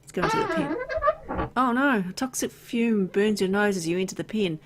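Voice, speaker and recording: A woman's voice: a little high, sing-song, normal speed, very noisy. A woman reading a video game's story aloud, mixed with casual, playful asides as she plays. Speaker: video game let's player.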